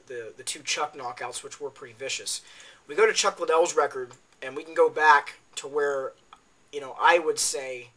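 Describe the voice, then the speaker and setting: Raspy, tired young man breaking down fights. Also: mid-range voice, bright, forceful tone. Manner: talks off the cuff with many ums and uhs. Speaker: MMA video blogger. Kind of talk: casual spoken fight analysis.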